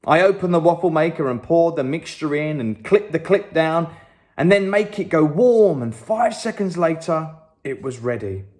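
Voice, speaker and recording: A man reading a children's story aloud, slow and expressive. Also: fairly high pitch. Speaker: story reader.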